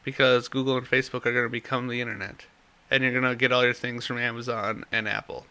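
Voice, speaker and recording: A young man co-hosting a loose tech chat, his voice bright and forward even through a stuffed-up nose. He talks at an ordinary pitch and wanders through his points, often losing his place mid-thought. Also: fast, smooth. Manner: rambling, loses his train of thought. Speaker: podcast co-host.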